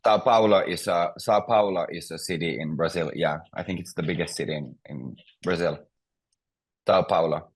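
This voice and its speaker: A man's voice: deep voice, normal speed, breathy edge. A man teaching a beginner language class, patiently prompting students and explaining grammar step by step.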